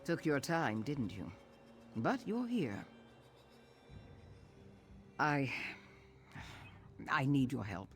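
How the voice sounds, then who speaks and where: A sardonic veteran thief of noble birth in a fantasy video game. The voice is mid-pitched, with a dry, haughty, formal delivery. Music plays behind the words. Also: male voice, very animated, drawn-out words, pauses often, fairly bright tone, full character voice. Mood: curt, reluctant.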